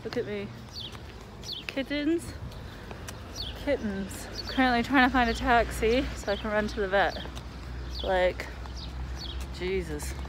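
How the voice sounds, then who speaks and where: A woman's voice: on the high side, takes it slowly, long pauses, noisy background. A young woman vlogging to a selfie camera at arm's length, chatty and joking as she narrates her day. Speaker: sailing vlogger. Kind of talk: casual vlog chat.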